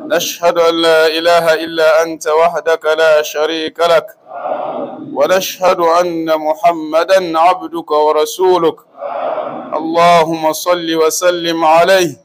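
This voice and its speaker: Imam type, middle-aged man from Burkina Faso, high steady chant called out to a big hall full of worshippers. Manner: intoned, calling out loudly over the congregation. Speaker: imam leading prayer. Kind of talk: chanted supplication in prayer. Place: large mosque hall, indoors, congregation standing.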